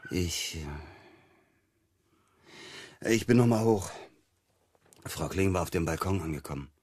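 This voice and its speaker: An actor playing an evasive, defensive psychotherapist suspected of murder, speaking in a halting, measured way in a radio crime drama. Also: male voice, deep voice, expressive, a little mellow, slightly rough, no room sound, exaggerated voice. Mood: defensive, unsettled.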